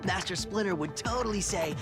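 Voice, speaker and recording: A man's voice: sits high, brisk, natural voice. A man voicing a goofy, playful teenage mutant turtle with a teasing, easygoing slacker delivery. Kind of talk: animated cartoon acting. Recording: loud music behind the voice.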